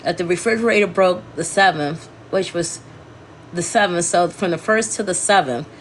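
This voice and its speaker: Stuffy scratchy-throated lady rambling on her livestream, lowish voice, bit of hiss behind. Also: normal speed. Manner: meandering, self-correcting, talking to viewers. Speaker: livestream host.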